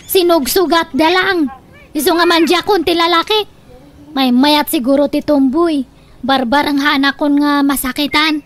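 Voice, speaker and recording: A lovestruck young daughter acted by a woman in a radio comedy drama, speaking in a high voice. She coaxes and pleads, ready to stand up to her mother, over a faintly noisy recording. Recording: faint background noise.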